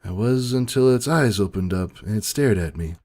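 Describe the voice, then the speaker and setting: A man's voice: fairly low pitch, animated, moderate pace, a little mellow. A man reading scripted first-person story narration in a dramatic, emotive way.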